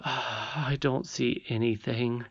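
Casting: storybook miner lad performed by an audiobook reader, courteous but sceptical, plain-spoken, middling pitch. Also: steady tone, slow pace, slightly rough, muffled recording. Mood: doubtful, polite. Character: polite young miner boy, doubtful, plain-spoken.

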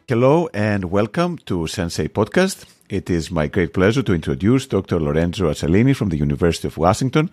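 A man's voice: deep voice, very animated, a little fast, few pauses, darkish tone, slightly rough, soft background music. A man hosting a podcast, friendly and asking questions with hesitant restarts. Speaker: podcast host. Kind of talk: interview hosting.

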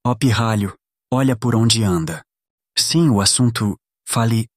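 A man's voice: medium pitch, unhurried, no room sound, clean studio sound, lightly acted. A man with a Brazilian accent playing a cocky, popular teenage athlete in an audio drama, cool and offhand. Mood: cocky, casual.

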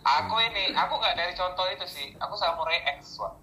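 A man's voice: high-pitched, fast, sharp tone. A man co-hosting a casual podcast chat, teasing and joking with the others. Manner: teasing, jokey. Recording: echoey room, faint noise.

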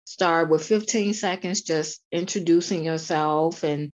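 A woman hosting an interview, asking questions in a measured, encouraging way. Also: sits low, a little mellow. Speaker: interviewer, host. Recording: dull lo-fi audio.

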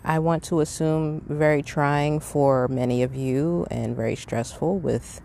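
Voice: mid-range voice, steady tone, unhurried, gravelly, dark tone. A middle-aged podcast host giving an earnest, reflective, conversational monologue, talking casually. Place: outdoors. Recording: windy outdoor background noise. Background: wind, outdoor ambience.

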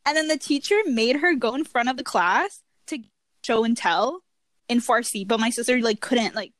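Young woman chatting, lots of "like"s, higher voice, dry close mic. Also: moderate pace, dry recording. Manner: chatty, filler-heavy storytelling. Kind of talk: casual chat.

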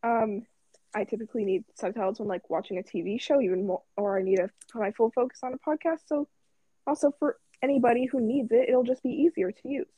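A young woman with a slightly high but mellow voice talks easily on her podcast, hesitating and laughing. The sound is close and dry. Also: quick pace, no room sound, low-quality recording. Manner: relaxed, laughs often, hesitant. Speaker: podcast co-host. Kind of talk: casual chat.

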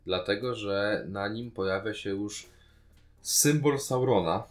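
Slightly low-voiced Polish man, podcast co-host. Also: slow. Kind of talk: casual critical discussion of a series.

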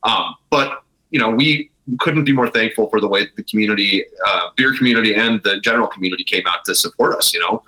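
A man's voice: fairly low pitch, quick pace, bright, forceful tone, poor audio. A man with an American accent chatting casually as an interview guest, rambling and repeating phrases, with some casual swearing. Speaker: brewery owner, podcast guest.

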